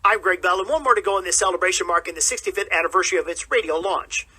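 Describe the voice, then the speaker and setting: A man's voice: high voice, rapid-fire, bright, forceful tone. A man presenting scripted links as a radio host.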